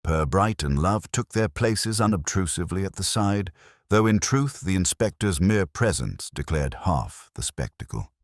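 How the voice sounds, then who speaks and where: A man's voice: low-pitched, lively intonation, normal speed, dry recording, studio quality. A man narrating a scripted period mystery story in a literary, dramatic style.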